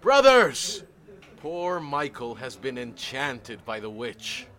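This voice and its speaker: An actor playing the pompous, preachy leader of a fanatical brotherhood in a supernatural audio drama. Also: male voice, medium pitch, very animated, takes it slowly, fairly bright tone, a little hiss or hum. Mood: zealous, commanding. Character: fanatical male leader of human supremacist brotherhood.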